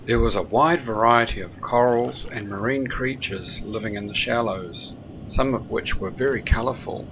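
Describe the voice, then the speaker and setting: A man narrating a sailing trip in a calm, measured, matter-of-fact voice-over. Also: mid-range voice, highs cut off, background noise. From New Zealand. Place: recorded separately from the pictured scenes. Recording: voice-over with loud background music.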